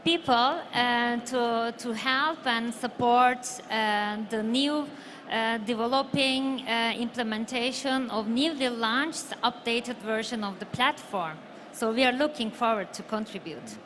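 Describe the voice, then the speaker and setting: A woman with a Turkish accent speaking on a live panel as an academic, haltingly searching for words. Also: on the high side, a bit bright, a little hiss or hum. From Turkey. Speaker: university academic, panel member. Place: live stream stage at a busy event.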